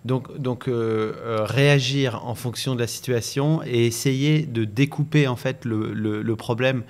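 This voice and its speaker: A man hosts a podcast interview at an average pitch for a man. He asks his questions haltingly, with plenty of fillers, and keeps the talk going with brief acknowledgements. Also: fairly even intonation. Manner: halting, many "euh" fillers, short acknowledgements. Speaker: host.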